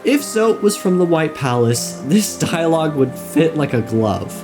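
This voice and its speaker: A man narrating a scripted video essay on game lore, with wry, deadpan asides. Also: a little high, measured pace. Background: music.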